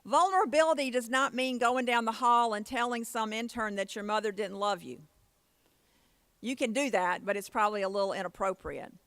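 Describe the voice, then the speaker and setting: A woman's voice: a little high, fairly quick, a bit bright, no room sound. A woman giving a motivational conference keynote with personal stories, in a plain-spoken, folksy way. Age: 50s. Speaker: keynote speaker.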